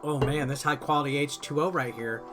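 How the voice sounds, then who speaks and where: A man's voice: fairly high pitch, steady tone, brisk. A man doing casual, playful gameplay commentary as a streamer, sometimes reading on-screen text aloud. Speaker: video game streamer. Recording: game music behind the voice. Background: game music.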